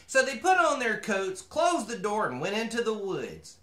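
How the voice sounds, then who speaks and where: Man as a chatty male mule, bright sharp voice, folksy storybook host, exclaiming, roomy echo. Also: high-pitched, huge pitch swings, moderate pace, full character voice. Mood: storytelling. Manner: folksy, exclaiming, disapproving asides. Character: chatty, opinionated male mule who loves stories. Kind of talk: animated storybook reading with comic commentary.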